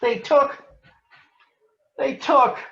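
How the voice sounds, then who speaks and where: A man voicing an upset, angry little girl bear cub in a storybook, whiny and shouting. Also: very high voice, very slow, pitched above the performer's own voice, exaggerated voice. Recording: roomy echo, dull lo-fi sound.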